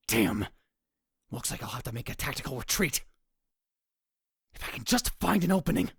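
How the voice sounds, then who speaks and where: A man's voice: sits high, gravelly, husky, no echo, studio quality, full character voice. A man playing a paranoid, grandiose young mad scientist in a visual novel fan dub, with a pompous, melodramatic delivery. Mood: tense. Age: young adult. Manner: pompous, melodramatic, swinging from hushed muttering to outbursts.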